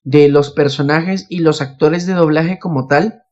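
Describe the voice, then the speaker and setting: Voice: mid-range voice, a little slow, a little mellow, muffled recording. A podcast host, chatting casually and telling anecdotes, often acting out what others said. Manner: acts out quoted remarks.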